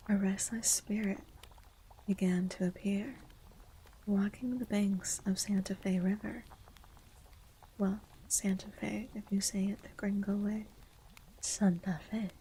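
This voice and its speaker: Mellow whispering woman, low register. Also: a little hiss or hum, low-quality recording. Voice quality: feminine voice on the low end of the register. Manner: soft unvoiced whispering. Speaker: streamer. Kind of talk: whispered ASMR chat and legend reading.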